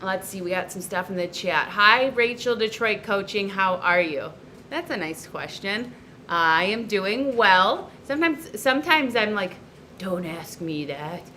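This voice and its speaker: A woman hosting a casual live cooking stream from her home kitchen, chatty and upbeat, with a loud teacher voice, picked up by a camera across the room. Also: medium pitch, animated, unhurried. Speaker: cooking livestream host and coach. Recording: echoey kitchen with faint noise.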